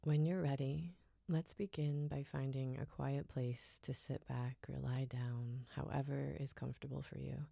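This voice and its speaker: A woman guiding a relaxation meditation in a soft, soothing, unhurried way, her phrases often trailing off breathily toward a whisper. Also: very deep voice, little pitch movement, gravelly, no room sound, clean studio sound. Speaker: yoga nidra guide.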